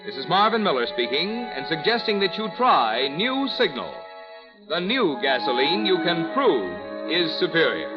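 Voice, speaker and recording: A man's voice: on the high side, very animated, measured pace. A male radio announcer reading sponsor copy and closing credits in a polished manner. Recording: dull old radio recording with music.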